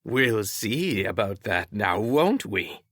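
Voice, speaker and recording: A man's voice: medium pitch, big pitch swings, measured pace, bright, forceful tone, no echo, studio quality, pitched above the performer's own voice. Man acting sneering, imperious old man. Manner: condescending, pompous.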